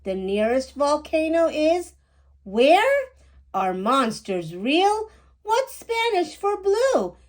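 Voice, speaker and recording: Woman storyteller as inquisitive little storybook girl, high swooping voice, wide-eyed, unhurried. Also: very animated, full character voice. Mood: curious, eager. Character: curious little girl bubbling with big questions. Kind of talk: children's picture book read-aloud.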